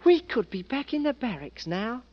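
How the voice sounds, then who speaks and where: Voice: medium pitch, big pitch swings, normal speed, close to an everyday voice. An actor playing a surly, grumbling young English working-class army drummer in a 1940s radio drama. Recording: dull old radio recording.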